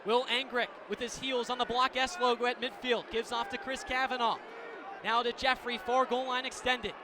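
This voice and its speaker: High-pitched male lacrosse play-by-play announcer, noisy venue. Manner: vivid, clipped running description. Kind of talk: live radio sports commentary. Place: sports venue broadcast position. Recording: loud arena noise behind commentary. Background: loud game ambience.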